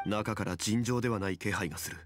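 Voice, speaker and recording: A man voicing a composed, ancient male consultant in a game, speaking in a calm, measured and formal way. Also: fairly low pitch, close to an everyday voice. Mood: grave. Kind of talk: game voice acting. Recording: game audio with music behind.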